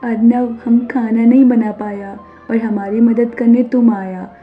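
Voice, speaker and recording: A woman voicing a meek young Nepali daughter-in-law in an animated story, speaking slow, hesitant broken Hindi with a Nepali accent. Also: on the high side, natural voice. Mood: sad, worried. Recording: narrow phone-like sound over music.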